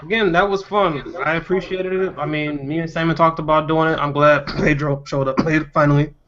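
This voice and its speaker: A man hosting a casual group discussion, talking in an animated, teasing way. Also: a little high, a little fast. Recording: dull lo-fi audio.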